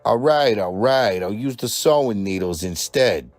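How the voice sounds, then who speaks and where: A man voicing a sardonic monster dad in an animated horror cartoon, gruff and drawling. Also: lowish voice, measured pace, dry recording, faint music behind.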